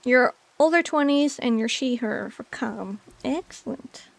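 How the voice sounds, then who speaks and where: A woman's voice: a little high, unhurried, vocal fry. A woman in her late twenties chatting casually with viewers on a game stream, rambling with many fillers. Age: 20s. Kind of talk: casual chat with chat while gaming.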